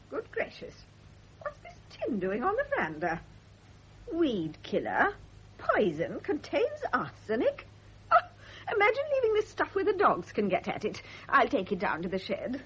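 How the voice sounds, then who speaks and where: Husky, gossipy middle-aged nurse, swooping pitch. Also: female voice, a bit bright, heavily acted. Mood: brisk, alarmed. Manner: insinuating, knowing, condescending. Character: gossipy, self-satisfied veteran private nurse, a woman. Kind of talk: radio drama acting. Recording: dull, muffled vintage radio recording.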